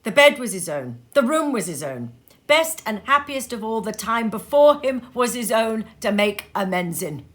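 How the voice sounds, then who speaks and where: A woman reading a classic story aloud at home in her sitting room, with unhurried, expressive storytelling. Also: a little high. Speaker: reader. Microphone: home recording.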